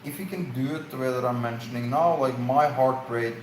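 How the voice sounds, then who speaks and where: Man talking casually in an echoey room. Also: medium pitch. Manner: halting, searching for words with fillers. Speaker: live stream co-host. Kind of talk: casual Q&A chat. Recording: echoey room, faint noise.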